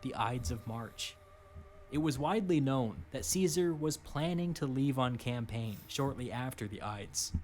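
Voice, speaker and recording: A man reads scripted history narration in a dry, measured voice with a wry edge. His pitch sits in the middle, and faint music plays underneath. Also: lively intonation, darkish tone. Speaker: documentary narrator.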